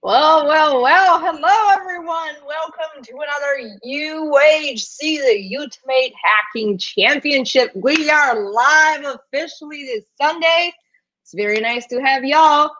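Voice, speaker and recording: Woman host from Brazil, native Portuguese speaker, chatty and halting, dull lo-fi stream audio. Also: high-pitched, fairly bright tone, a little hiss or hum. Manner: chatty, halting with frequent hesitations. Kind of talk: livestream hosting.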